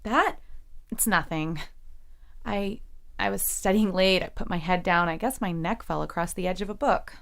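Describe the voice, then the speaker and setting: A woman playing a quietly defensive young college freshman, guarded and understated, in an audio drama. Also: on the low side, normal speed, gravelly.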